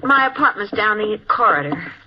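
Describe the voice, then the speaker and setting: A woman's voice: medium pitch, sing-song, normal speed, noisy background, close to an everyday voice. An actress playing an anxious young woman in danger, earnest and dramatic, in a 1950s radio play. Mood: distressed. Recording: muffled old radio recording.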